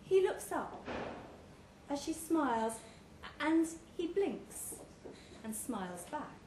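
A woman reading a short story aloud at a live pub event, measured and with dramatic pauses. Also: sits high, big pitch swings, darkish tone. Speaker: story reader. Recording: echoey room, clear background noise.

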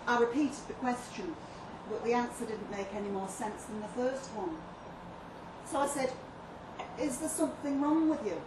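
A woman's voice: fairly high pitch, normal speed, close to an everyday voice. Woman playing a bemused call operator, distant mic. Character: matter-of-fact, mildly bemused female emergency call operator. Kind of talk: dramatic monologue read at a live story event. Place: live reading in a pub function room. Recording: echoey room, distant mic, noisy ambience.

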